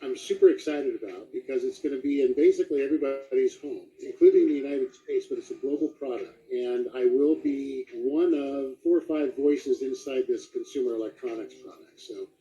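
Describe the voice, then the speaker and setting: A man's voice: mid-range voice, brisk, few pauses, dark tone. A middle-aged man chatting casually as an interview guest, with a self-deprecating manner. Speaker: guest voice actor. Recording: dull, lo-fi audio.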